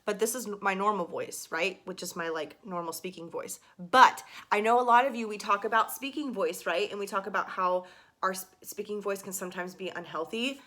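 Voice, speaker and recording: A young adult woman voice teacher talks through warm-up tips in a casual, filler-heavy way. A slight gravel shows in her lower register as she demonstrates exercises. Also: a little fast. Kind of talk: casual video lesson.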